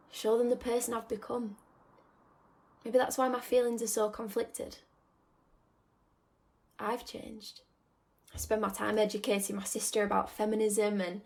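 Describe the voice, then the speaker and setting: Woman acting young woman, radio drama monologue, nostalgic, confiding, wistful, medium pitch, sighing pauses. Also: natural voice. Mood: conflicted, affectionate. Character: nostalgic young woman torn between home and independence.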